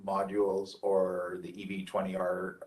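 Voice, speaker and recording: A man with a fairly low voice leads an informal technical training session. He sits a little back from the microphone. His delivery is halting, with frequent hesitant pauses as he explains. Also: monotone, a little mellow, distant mic. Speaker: trainer presenting product training. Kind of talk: informal technical lecture over slides.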